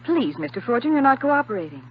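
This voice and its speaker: An actress playing a polite, coy, lightly scolding young ship's nurse in a 1950s radio drama. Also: female voice, fairly high pitch, very animated, fast talker. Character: prim, teasing young female ship's nurse. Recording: dull, faintly noisy old radio recording.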